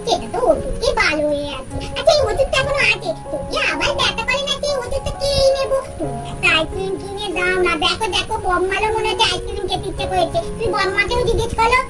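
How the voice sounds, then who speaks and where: Very high-pitched wheedling little child. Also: female voice, animated, fast talker, few pauses. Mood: pleading. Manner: babyish, wheedling, sing-song. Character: pleading, chirpy little child doll. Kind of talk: puppet doll story voice acting. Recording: loud music behind the voice.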